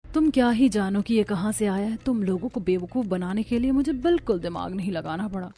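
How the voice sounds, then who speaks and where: A woman voicing a scheming young new bride in an animated family story, often muttering to herself. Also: medium pitch, a little fast, natural voice. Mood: smug. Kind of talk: Hindi cartoon story dialogue. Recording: music behind the voice.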